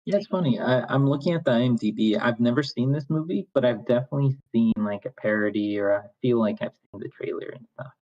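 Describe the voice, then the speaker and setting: A man's voice: mid-range voice, fast talker, hardly any pauses, darkish tone. A man chatting casually in a group discussion, tentative and often rephrasing himself, on a muffled lo-fi microphone. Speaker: participant in a script discussion. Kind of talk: casual chat.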